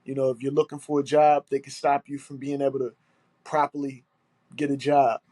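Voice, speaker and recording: A man's voice: medium pitch, level tone, a little fast, mellow, no echo. Congested, aggrieved man. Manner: aggrieved, emphatic, repeating himself, swearing. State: stuffy nose, congested. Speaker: podcast host. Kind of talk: solo monologue podcast.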